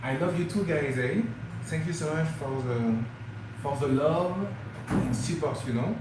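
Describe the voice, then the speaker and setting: A man's voice: fairly high pitch, a little slow. A man with a French accent, a native French speaker, chatting casually with viewers on a travel vlog livestream, hesitant and full of fillers. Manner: hesitant, many fillers, addresses viewers as guys. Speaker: travel vlogger. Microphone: phone or camera mic, live stream. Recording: very echoey room with clear background noise.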